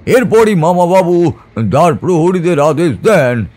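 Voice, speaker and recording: A man's voice: on the high side, very animated, measured pace, a little mellow. A man giving a dramatic, suspenseful scripted narration of a horror story in a theatrical manner.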